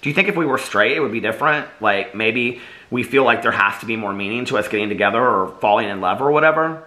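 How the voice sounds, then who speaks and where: A man reading as a gently earnest teenage boy. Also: mid-range voice, animated, quick pace, rough recording. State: slightly losing his voice.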